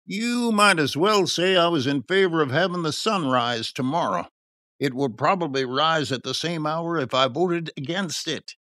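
A man's voice: fairly high pitch, a bit bright, no echo, pitched above the performer's own voice, natural voice. A man voicing a shabby, shrewd, cynical reformer in an audiobook, amused and contemptuous. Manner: contemptuous, sardonic. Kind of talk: audiobook character acting.

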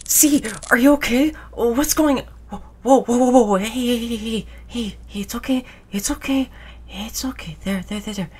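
A woman playing a gentle human who soothes their android companion in an intimate roleplay audio drama, tender and reassuring. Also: fairly high pitch, moderate pace, slightly rough. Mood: alarmed, soothing, then hushed, comforting. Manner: soothing, tender, hushed, repeating reassurances softly.

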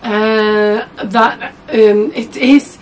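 A young woman with a husky voice, hoarse from a cold, chatting to camera as a sewing vlogger in a small room at home, rambling and correcting herself often. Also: medium pitch, little pitch movement, very slow, slight background noise. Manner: chatty, rambling, many fillers and self-corrections. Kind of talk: casual chat to camera.

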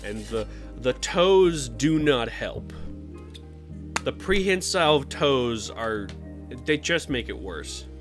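A man talks casually on a live stream, reacting to chat and breaking into squeaky silly voices. His delivery swings widely in pitch. Soft music plays faintly underneath. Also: takes it slowly. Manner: animated, jumps into high silly voices.

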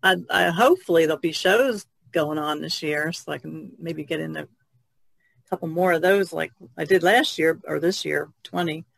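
A woman's voice: low-pitched, normal speed, vocal fry, fairly bright tone, dry recording. A woman artist chatting informally on a podcast, tentative in how she puts things. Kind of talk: casual podcast chat.

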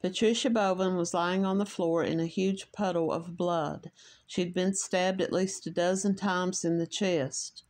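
A woman with an Appalachian accent reading a true crime story in a measured, slightly halting way, stopping to share her own thoughts. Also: fairly low pitch, fairly even intonation, darkish tone. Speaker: true crime podcast host.

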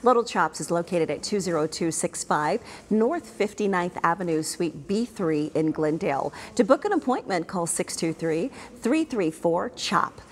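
A woman's voice: fairly low pitch, expressive, normal speed, hardly any pauses, big-room sound, slight background noise. A middle-aged woman hosting a sponsored interview segment on a TV studio set, bubbly and enthusiastic. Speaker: TV lifestyle show host.

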